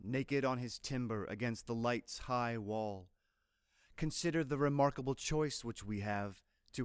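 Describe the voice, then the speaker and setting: A man reading scripted poetry aloud in a measured, deliberate, literary manner. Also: medium pitch, clean tone, dry recording, clean studio sound. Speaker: poetry reader.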